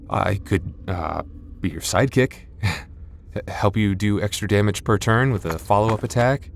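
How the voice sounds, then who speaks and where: A man playing a timid, soft-hearted young knight in an ASMR fantasy roleplay, stammering nervously and putting himself down. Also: low voice, gravelly, a little hiss or hum. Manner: stammering, self-deprecating, nervous.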